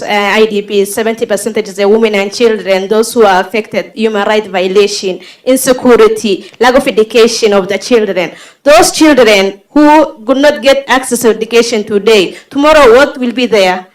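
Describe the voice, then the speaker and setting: A woman's voice: fairly high pitch, low-quality recording. Pleading woman from Somalia, floor appeal. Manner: pleading, listing points, hesitant fillers. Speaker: audience member. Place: conference hall.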